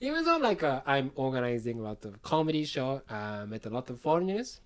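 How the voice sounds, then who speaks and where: Woman, Japanese accent, low voice, very animated intonation, casual podcast host, repeats short agreeing phrases. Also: a little fast. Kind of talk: casual chat.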